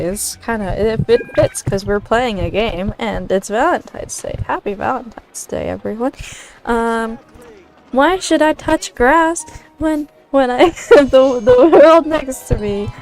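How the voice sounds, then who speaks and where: A woman livestreams a game in casual, giggly chat. Her voice is fairly high and she takes her time, stumbling along with playful drama while soft music plays behind her. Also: animated, a little slow, poor audio. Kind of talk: casual live gaming chat.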